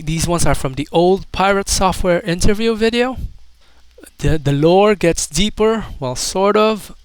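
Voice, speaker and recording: A man's voice: fairly high pitch, clean tone, dry recording, rough recording. A man doing casual video commentary, reading out online comments in an amused, mocking way.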